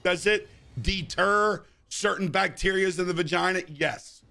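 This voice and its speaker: A man's voice: high voice, unhurried, creaky, bright, forceful tone. A man in his thirties live-streaming a game, bantering crudely with chat and swearing often. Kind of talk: casual live-stream chat while gaming. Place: indoor room at a gaming chair.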